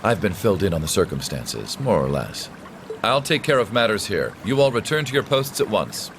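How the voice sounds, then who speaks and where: A man playing a composed, authoritative young nobleman in a video game, courteous and formal with quiet menace. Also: fairly low pitch, sing-song, background noise. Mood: calm authority. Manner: polished, measured formal speech. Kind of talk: dramatic video game voice acting.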